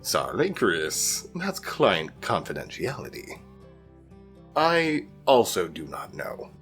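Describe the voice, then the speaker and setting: A man playing a kindly middle-aged monster father in an audio roleplay, courtly, gentle and old-fashioned. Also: low-pitched, wildly swooping pitch, takes it slowly, faint music behind, full character voice. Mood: cheery, polite. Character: genial, kindly middle-aged monster dad, flower shop owner.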